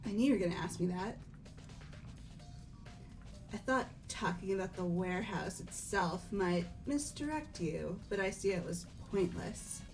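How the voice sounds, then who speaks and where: A composed, calculating gothic young lady from a visual novel game , voiced by a woman. She talks slowly and formally, each phrase deliberate and poised. Music plays clearly behind her. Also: mid-range voice, lively intonation, a little mellow. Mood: coy, composed. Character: refined, composed, calculating gothic-lolita teenage girl. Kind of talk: fan dub of visual novel game dialogue.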